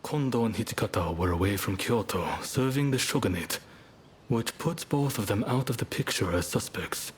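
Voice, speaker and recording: An actor playing a composed, shrewd samurai spymaster with a polished, persuasive manner in a game. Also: male voice, on the low side, expressive, normal speed, a little hiss or hum, close to an everyday voice. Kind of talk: video game cutscene acting.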